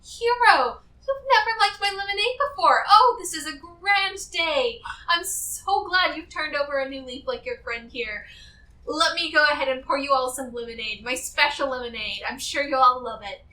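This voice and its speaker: A high-voiced, doting mother from a fantasy game played by a woman, fussy and genteel with a steely side. She sounds bright and is heard in a roomy, noisy space away from the mic. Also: moderate pace, recorded from a distance. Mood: sweet, hospitable. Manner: fussy, genteel, calls people dear. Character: doting, motherly woman, fierce then sweetly hospitable.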